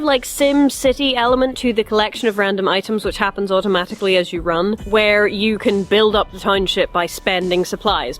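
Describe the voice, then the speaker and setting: Wry woman reviewer with background music. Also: medium pitch. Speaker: host of a review show. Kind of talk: scripted video review to camera, casual and chatty.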